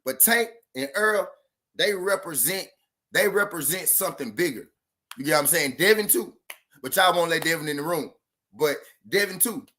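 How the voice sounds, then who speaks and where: A man's voice: on the high side, expressive, normal speed, bright, forceful tone. A man hosting a boxing channel live stream, talking loosely and profanely and repeating himself. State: says he is high. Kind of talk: casual live-stream talk.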